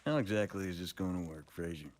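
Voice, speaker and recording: A man's voice: low voice, expressive, fast talker, slight background noise. A man roleplaying an exasperated, foul-mouthed Old West gunman with a sardonic, grumbling delivery. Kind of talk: improvised online Western roleplay.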